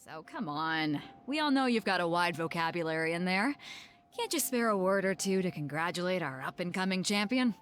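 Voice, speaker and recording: A woman's voice: on the low side, expressive, fairly quick, natural voice. An actress playing a bold, confident woman ship captain in a video game, brash and teasing.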